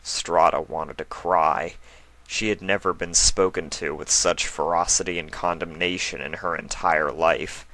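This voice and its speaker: A man reads a story aloud as a dramatic narrator. His voice is very deep, with a bright, forceful edge that carries the scripted prose. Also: steady tone, unhurried. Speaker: narrator, fan fiction reader.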